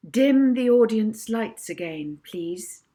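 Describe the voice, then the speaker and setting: Storybook girl hypnotist played by a woman, young, self-assured, theatrical, giving orders, unhurried delivery. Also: on the high side, animated, warm tone. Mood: commanding. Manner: theatrical, commanding. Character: confident young girl stage hypnotist, commanding her audience.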